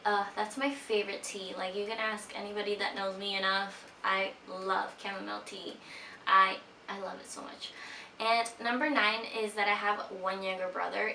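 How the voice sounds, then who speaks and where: A woman's voice: mid-range voice, bright, forceful tone, a little hiss or hum. A woman in her twenties from Peru, a native Spanish speaker, chatting casually to her viewers in a sit-down vlog, with lots of "like" fillers and giggly asides. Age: 20s. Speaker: vlogger. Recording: roomy echo, voice a bit far from mic.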